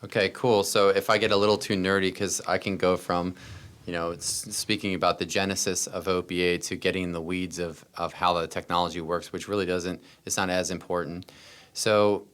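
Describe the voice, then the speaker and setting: A man's voice: sits low, a bit bright, clean tone. An American man telling personal stories as a podcast interview guest, earnest and repeating phrases for emphasis. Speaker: interview guest, startup founder.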